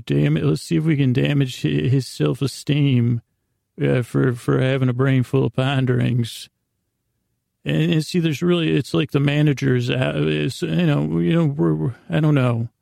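A man with a dark, relaxed, gravelly voice hosts a bedtime podcast, recorded close and dry. He rambles in an improvised way, stumbling into restarts and drifting into tangents. His delivery stays fairly even, self-deprecating and gently reassuring. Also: mid-range voice, dry recording. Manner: halting and meandering, with stammered restarts and trailing tangents. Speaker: podcast host.

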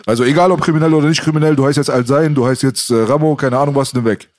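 A man's voice: on the high side, very fast, darkish tone. A man, originally from Iran, hosting a casual chat and telling stories in street slang. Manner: talkative storyteller, street slang.

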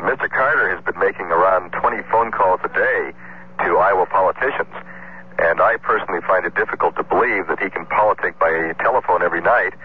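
An American man making a statement to the press as a campaign manager. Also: sits low. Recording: noisy tinny phone-like radio clip.

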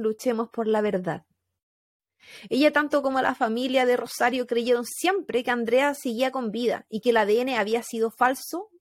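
A woman with a Chilean accent telling a true crime story in casual podcast chat, animated, with fillers and laughs. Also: fairly high pitch, dry recording, clean studio sound.

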